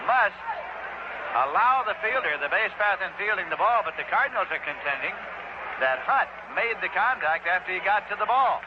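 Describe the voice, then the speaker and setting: A man with an American accent calling baseball play-by-play in a measured, explanatory way on an old 1960s radio broadcast. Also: sits high, lively intonation, dull audio. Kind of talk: live baseball play-by-play commentary. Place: outdoor ballpark broadcast. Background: loud noise, stadium ambience.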